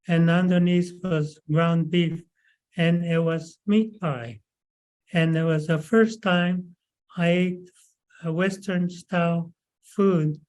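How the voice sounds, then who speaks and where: Slow, halting man in his nineties. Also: fairly high pitch, darkish tone, poor audio. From Canada. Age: 90s. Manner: slow, halting, many pauses and fillers. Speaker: webinar speaker. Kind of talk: recalled life story.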